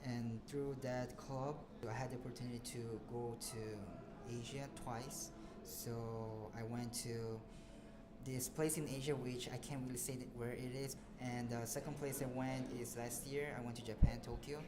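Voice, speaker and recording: A man's voice: medium pitch, little pitch movement, measured pace, very noisy. Young man hesitantly answering interview questions. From Brazil. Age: 20s. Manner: hesitant, searching for words. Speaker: student guest.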